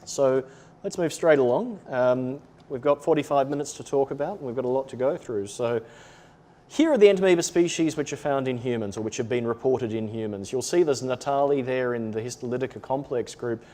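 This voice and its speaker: Australian male presenter, mid-pitched, measured, patient explainer for a lab webinar. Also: dark tone. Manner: explanatory, measured, with occasional ums. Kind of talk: webinar lecture.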